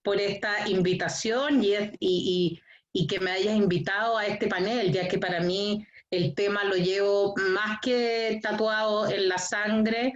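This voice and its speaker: A woman speaks slowly and earnestly as an activist on a panel, pausing often on fillers. Her audio is dull and lo-fi, like a video call. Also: medium pitch, fairly even intonation, roomy sound. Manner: deliberate, earnest, with many fillers. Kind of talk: online panel discussion.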